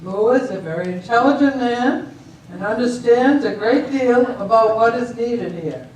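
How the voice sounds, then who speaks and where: A woman in an amateur stage reading, playing a composed, decisive government official with a measured, formal delivery. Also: on the high side, expressive, darkish tone, lightly acted. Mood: matter-of-fact. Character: composed, decisive female official judging a border dispute. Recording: echoey hall, distant mic, faint noise.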